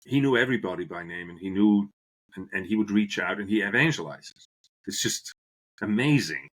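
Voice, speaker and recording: Earnest Swiss-born man, lowish voice. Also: a bit bright, breathy edge, rough recording. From Switzerland. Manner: earnest, restarts phrases while searching for words. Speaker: guest, business professor. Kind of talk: podcast interview, reflective storytelling.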